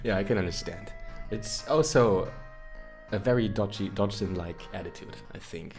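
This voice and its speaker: Low-voiced non-native male podcast host. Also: moderate pace. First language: not English. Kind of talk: casual interview chat. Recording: clear guitar music under the voice.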